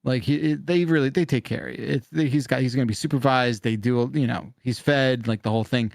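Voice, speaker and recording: A middle-aged man hosting a call-in podcast in casual chat, often halting and restarting his sentences. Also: mid-range voice, vocal fry, a little mellow, no echo, clean studio sound. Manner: rambling, halting, self-interrupting. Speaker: podcast host of a call-in show.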